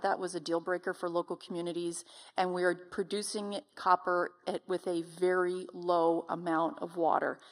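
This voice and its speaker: Woman, company rep talking on a panel, lowish voice, explaining stuff. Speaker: mining company representative on a panel. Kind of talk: panel discussion, explanatory talk.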